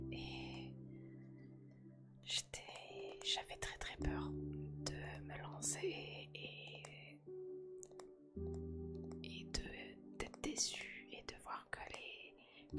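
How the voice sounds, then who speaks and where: A woman speaks in a slow, soft whisper, as in an intimate ASMR chat with one listener. Her phrases are short and hesitant, with pauses between them. Music plays prominently behind her voice. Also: rumbling low. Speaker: ASMR creator speaking as host to viewers.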